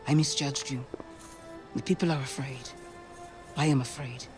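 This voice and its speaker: An actress playing a grave, devout council leader in a video game, speaking formally and solemnly. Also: female voice, very deep voice, fairly even intonation, moderate pace, over music. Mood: humble, afraid. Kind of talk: video game cutscene acting.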